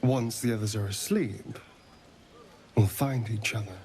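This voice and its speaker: An actor playing a flirtatious, self-indulgent vampire rogue in a video game, with an arch, theatrical drawl. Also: male voice, fairly low pitch, unhurried, a little mellow, natural voice. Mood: teasing, seductive. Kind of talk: video game character acting.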